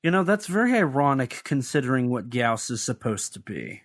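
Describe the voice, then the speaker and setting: A man's voice: medium pitch, very animated, normal speed. A man co-hosting a podcast, talking through a monster film in a chatty, analytical way with light sarcasm. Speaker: podcast co-host. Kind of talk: casual film discussion.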